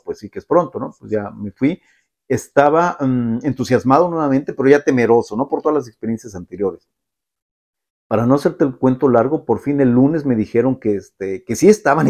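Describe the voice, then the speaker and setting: Middle-aged man, mid-pitched, reflective vlogger who pauses and fills gaps as he recounts. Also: dark tone. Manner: reflective, halting, with many filler sounds. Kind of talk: personal storytelling to camera.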